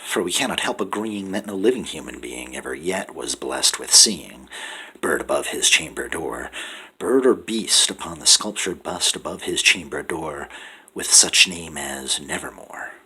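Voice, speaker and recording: A man giving a dramatic poetry recitation, often dropping to a whisper and rising to loud pleading passages. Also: extremely low pitch, expressive, unhurried, slightly rough, low-quality recording. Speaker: poetry reader.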